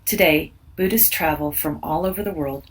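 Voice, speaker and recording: Low-voiced woman reading aloud, distant mic. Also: normal speed, husky. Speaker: read-aloud narrator. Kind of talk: scripted educational read-aloud narration for children. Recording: distant mic with background noise.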